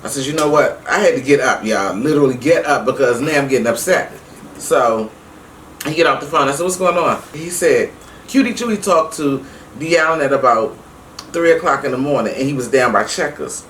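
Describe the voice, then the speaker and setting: A middle-aged woman telling a personal story casually to her viewers, emphatic and animated, acting out the dialogue as she goes. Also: rumbling low, fairly quick. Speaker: vlog host. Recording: roomy, distant mic with faint noise.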